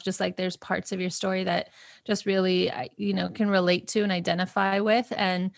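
A woman hosts a podcast, introducing her guest and responding with warm enthusiasm and soft reflection. Her voice sits in the middle range and sounds close and dry, with no room around it. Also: medium pitch, vocal fry, dry recording. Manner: enthusiastic, admiring, softly reflective. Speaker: podcast host. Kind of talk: interview hosting.

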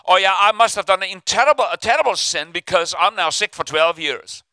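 An older man preaches with fervour, his voice high, very bright and smooth. He calls on the church to answer back and slips into the inner voices he describes, all recorded close and dry. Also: animated, a little fast, no echo. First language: Afrikaans. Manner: fervent, calls for responses, acts out inner voices. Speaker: pastor. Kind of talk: sermon.

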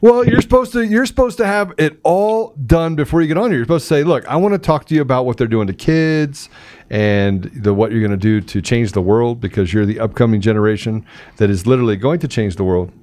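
A man's voice: mid-range voice, a little fast, a little mellow. A man hosting a casual live talk show, joking and teasing, often repeating himself as he banters.

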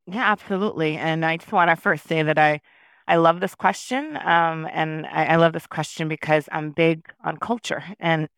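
Smooth-voiced woman, thoughtful interview guest. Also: low voice, moderate pace, a bit bright, dry recording. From New York. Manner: reflective, with frequent restarts and fillers. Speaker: podcast guest. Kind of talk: conversational interview answers.

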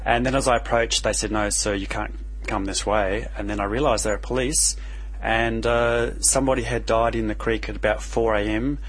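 A man's voice: lowish voice, fairly even intonation, moderate pace, fairly bright tone, low-quality recording. A man hosting a community radio science show, chatty and casual as he interviews guests.

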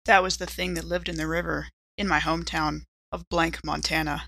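A woman playing a tabletop roleplaying game, improvising an eerie first-person story and building it deliberately, piece by piece. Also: low-pitched, little pitch movement, vocal fry, a bit bright, no room sound.

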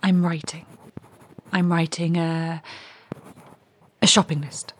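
An actress playing a restless, curious young woman in an audio drama monologue, confiding and wry, with a hushed, husky voice. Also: lowish voice, little pitch movement, slow, slight background noise.

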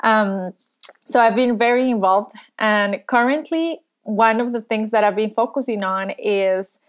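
A woman's voice: fairly high pitch, steady tone, smooth. Thoughtful woman from Costa Rica. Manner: earnest, thoughtful, many pauses. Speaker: guest. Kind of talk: conversational interview. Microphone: video call.

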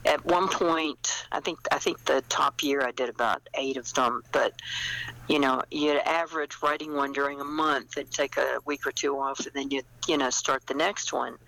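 An older woman chatting as an interview guest, reflective and anecdotal, with hesitant pauses. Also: extremely low pitch, fairly even intonation, gravelly, a bit bright, low-quality recording. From small-town United States. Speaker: guest, novelist. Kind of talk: casual interview chat.

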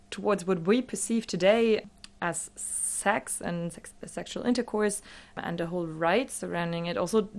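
A woman who is a native German speaker, explaining things as an interview guest in a thoughtful, academic way. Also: lowish voice.

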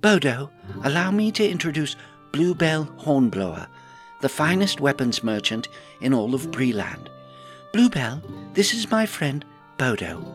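Man voicing a high-pitched breezy hobbit lass. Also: big pitch swings, unhurried, faint music behind. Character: spirited young female hobbit adventurer, breezy and persuasive.

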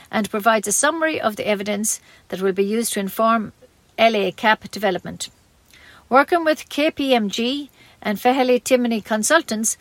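Woman newsreader for community radio, fairly high voice, dry close sound, steady read with small stumbles. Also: dry recording. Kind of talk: reading local news bulletin.

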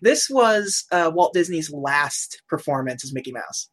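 A woman's voice: deep voice, lively intonation, a little slow. Chatty woman who laughs a lot. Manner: chatty, laughs often, looks things up aloud. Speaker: podcast co-host. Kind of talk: casual chat about old cartoons.

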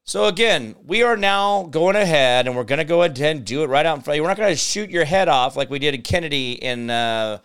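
An American man hosting a talk show with rambling, off-the-cuff political commentary in a halting, sardonic, digressive manner. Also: fairly high pitch, expressive, fairly bright tone, smooth. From United States.

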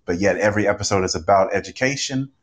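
A man on a podcast panel, speaking conversationally with deliberate, emphatic phrasing. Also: lowish voice. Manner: emphatic, deliberate phrasing with repeated words for stress.

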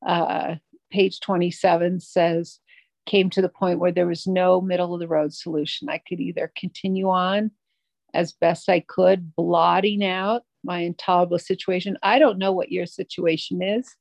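A middle-aged woman sharing her recovery story at a twelve-step meeting, halting and reflective, with many pauses and restarts. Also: lowish voice, vocal fry, a little mellow, dry recording. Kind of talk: personal recovery share.

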